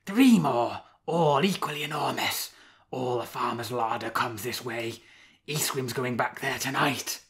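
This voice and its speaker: A gentle, soft-voiced she-wolf mother speaks kindly in a storybook, voiced by a man. The voice is lifted a little above his plain reading, warm in manner and unhurried. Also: mid-range voice.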